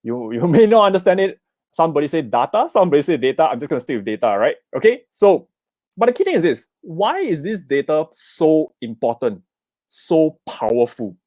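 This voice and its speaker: A man's voice: fairly high pitch, big pitch swings, fairly quick, a little mellow, dry recording, clean studio sound. A middle-aged man with a Singaporean accent, giving an investment talk at an online summit. He keeps asking "okay?" and "right?" and urges viewers to type in the comments. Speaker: investment speaker. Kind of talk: live online seminar talk.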